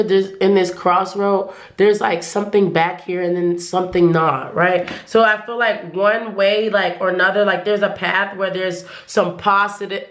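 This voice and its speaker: A woman's voice: fairly low pitch, fairly bright tone, reverberant. A woman giving a casual tarot reading to viewers, losing her voice, who rambles and often restarts her sentences. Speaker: tarot reader.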